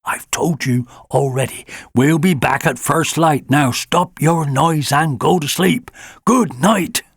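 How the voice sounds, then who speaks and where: Man playing a stern fatherly fox, brisk scolding, slightly raised voice, dry, storybook. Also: fairly high pitch, husky, no echo, voice pushed up, heavily acted.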